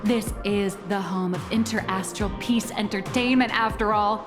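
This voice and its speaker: A woman's voice: medium pitch, fairly even intonation, moderate pace, big-room sound, music in the background, close to an everyday voice. A woman playing a brash, confident female editor-in-chief in a video game, bossy and theatrical. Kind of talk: video game character acting.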